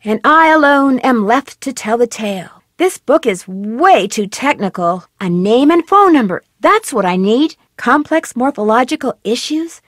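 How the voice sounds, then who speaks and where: A young female game detective: high, animated, dry booth recording. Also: unhurried, few pauses, no room sound. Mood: curious. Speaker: game protagonist.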